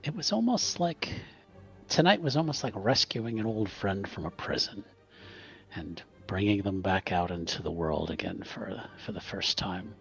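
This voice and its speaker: Man playing a small, hesitant, gravelly polite adventurer. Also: deep voice, expressive, moderate pace, dull audio, soft background music. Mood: hesitant, conciliatory. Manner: soft, hesitant, apologetic. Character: small, mild, polite male adventurer with staff, Buccio. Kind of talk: in-character tabletop roleplay.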